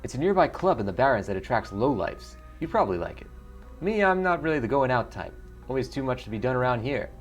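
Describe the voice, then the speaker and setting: A man voicing a wry, matter-of-fact dwarf coroner in a video game, explaining things in a measured way. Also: mid-range voice, sing-song, faint music behind, pitched above the performer's own voice, natural voice. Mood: dryly amused. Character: dry, wry dwarf coroner, principled and solitary.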